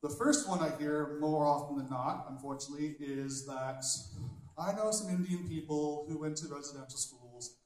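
A young man doing a stand-up comedy set on a small stage with a handheld mic, telling dark jokes bluntly and chatting with the crowd between jokes. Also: on the high side, moderate pace. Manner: dark, blunt humor with conversational asides. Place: large wood-panelled hall with a small stage. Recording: very echoey hall from a distant camera.

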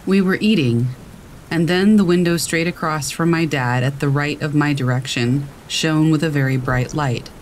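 A man's voice: on the high side, low-quality recording. A man reading scary stories as a scripted narration. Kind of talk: scripted horror story narration. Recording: steady rain noise over voice. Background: rain sound.

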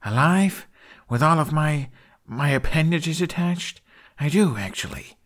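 A man voicing a defiant, exasperated young dragon rider, pitched up. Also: lively intonation, measured pace, fairly bright tone, full character voice. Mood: alarmed, irritated. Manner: snappy, hissing protests.

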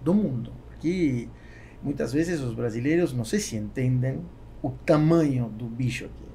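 Gravelly man with a Spanish accent. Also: medium pitch, warm tone. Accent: Spanish-accented Portuguese. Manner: halting, with repeated words. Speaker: podcast guest, businessman. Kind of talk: casual interview talk. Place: small foam-padded podcast studio. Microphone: close studio microphone on boom arm. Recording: faint background noise.

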